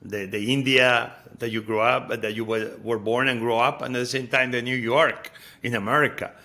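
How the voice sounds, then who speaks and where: Spanish-accented man, bright and halting. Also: mid-range voice, steady tone. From Spain. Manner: halting, repeats words while searching for phrasing. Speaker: podcast host. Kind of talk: conversational interview.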